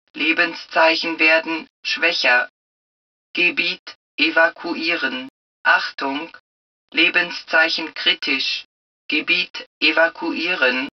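A woman voicing the calm computer of a powered combat suit in a video game, giving clipped, impersonal, matter-of-fact system announcements. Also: sits low, vocal fry, recorded from a distance, highs cut off, heavily acted.

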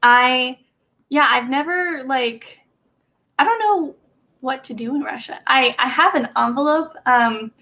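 Young woman, higher voice, vocal fry, casual rambling chat, many fillers, small bedroom webcam. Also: unhurried, sharp tone. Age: young adult. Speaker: livestream host. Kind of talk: casual chat with live chat readers. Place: small bedroom with bookshelf, indoors.

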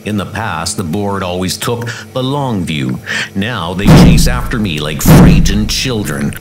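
A man's voice: low voice, animated, a little slow, over loud music, voice pushed down, low-quality recording. A man voicing a weary, menacing, ageless assassin who speaks tersely and broodingly in a comic dub. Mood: grim, strained.

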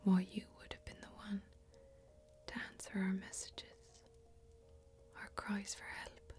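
A young woman performing a fantasy ASMR role-play as a solemn warrior, speaking slowly and deliberately in a hushed, intimate way. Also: medium pitch, completely flat delivery. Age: young adult. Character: female fantasy warrior, solemn, earnest, mysterious. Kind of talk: soft-spoken whispered ASMR role-play. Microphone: close microphone.

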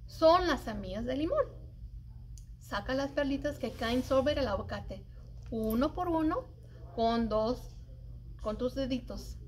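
A middle-aged woman reading a children's poem aloud in an expressive, singsong, deliberate way, as a story-time librarian. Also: high-pitched, slight background noise. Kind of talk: animated read-aloud of a children's cooking poem. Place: indoors, public library children's area.

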